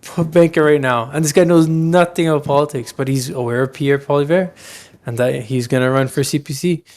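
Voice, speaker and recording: A man's voice: on the high side, a little fast, a little mellow. A man co-hosting a podcast, talking politics casually and emphatically with plenty of slang. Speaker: podcast co-host.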